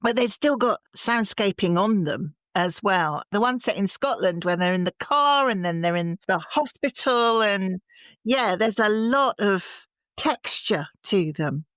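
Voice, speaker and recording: A woman's voice: mid-range voice, lively intonation, unhurried, a bit bright, no echo. Woman interviewer, dry recording. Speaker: podcast interviewer. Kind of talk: conversational interview.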